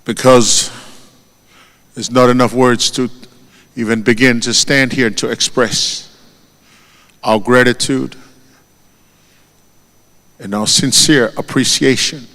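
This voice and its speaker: Tongan-accented male eulogist, solemn and deliberate, reverberant church hall. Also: mid-range voice, fairly even intonation, bright, forceful tone. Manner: solemn and measured, lifting his voice on each name. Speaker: eulogist at a funeral. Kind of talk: formal funeral eulogy.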